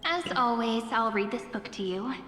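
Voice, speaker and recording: An actress voicing a gentle, wistful young fantasy game heroine, speaking in a measured, tender, poetic way. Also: female voice, on the high side, animated, a little hiss or hum. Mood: solemn, storytelling. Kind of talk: scripted fantasy game voice acting. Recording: long reverb under game music.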